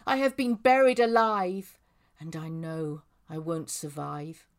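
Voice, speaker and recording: A woman's voice: low voice, expressive, slow pace. A woman poet reading her own work aloud in emphatic, dramatic declarative lines.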